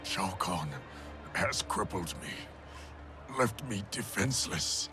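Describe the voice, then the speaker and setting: A man's voice: deep voice, expressive, slow pace, heavily acted. A man playing a proud, weary warlord in a video game cutscene, speaking in formal, stately phrases. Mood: bitter, wounded. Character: proud, weary male warlord ruler of a fantasy realm. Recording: loud music behind the voice.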